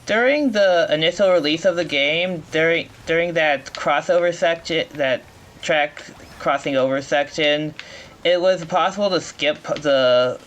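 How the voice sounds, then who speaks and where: A man's voice: fairly high pitch, measured pace, fairly bright tone, a little hiss or hum. A man giving live commentary while he plays through a racing game speedrun, explaining as he goes, correcting himself and reacting to his mistakes.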